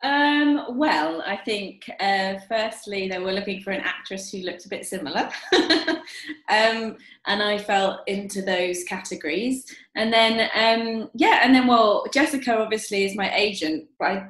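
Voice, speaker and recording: A young actress chatting casually as an interview guest, telling anecdotes in a hesitant, self-correcting way. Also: female voice, mid-range voice, a little slow, a bit bright. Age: young adult. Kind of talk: casual panel chat, personal anecdotes. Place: at home on a remote call. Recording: roomy, echoing home recording.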